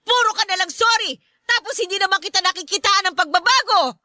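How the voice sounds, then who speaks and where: Radio drama mother, played by a woman: very high, piercing voice, exasperated scold, dry recording. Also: fairly quick, bright, forceful tone, dry recording, heavily acted. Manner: scolding, shrill, emphatic. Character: nagging, exasperated Filipino mother of grown sons. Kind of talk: Tagalog radio drama acting.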